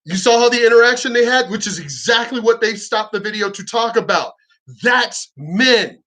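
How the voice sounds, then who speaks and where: A man's voice: extremely high pitch, lively intonation, moderate pace, sharp tone. A man giving a combative, profanity-laced rant as a reaction commentator, mocking what he reacts to.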